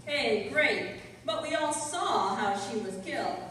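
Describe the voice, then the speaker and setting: Radio crime play: actress as murderous female tiger tamer, slow-paced, tough and slangy, very roomy distant recording. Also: fairly high pitch, animated, fairly bright tone. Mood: brash. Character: jealous, cornered female circus tiger tamer with a murderous streak. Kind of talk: old-time radio crime drama acting. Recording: echoey hall, far from mic, faint noise.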